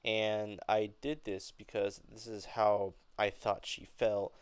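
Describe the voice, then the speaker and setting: A young man with a clear, smooth, mid-pitched voice explains something informally. He speaks haltingly and often rephrases as he goes. The recording is close and dry. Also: level tone, no echo. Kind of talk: informal explanation of an acting reading.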